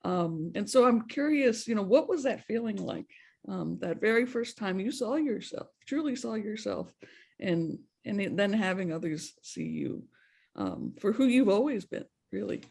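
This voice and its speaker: A moderator guiding a panel discussion, asking gentle, curious questions with many fillers. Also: medium pitch, big pitch swings, normal speed, gravelly, husky.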